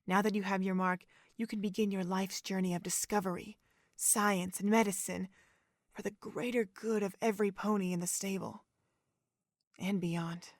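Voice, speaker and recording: A woman playing a calm, motherly pony doctor in an audio drama, gentle and deliberate. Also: medium pitch, no room sound, clean studio sound, close to an everyday voice. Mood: reassuring, solemn.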